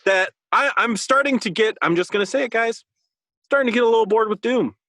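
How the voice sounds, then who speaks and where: A man's voice: very high voice, a little fast, pushed and bright, dry recording. A man co-hosting a podcast, chatting casually into a close microphone. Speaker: podcast co-host. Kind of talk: casual chat.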